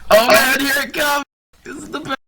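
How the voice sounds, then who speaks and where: A man's voice: very high-pitched, fairly even intonation, moderate pace, pushed and bright, shouting. A man making sarcastic, mocking comments in casual chat among friends. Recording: very noisy mic with clicks.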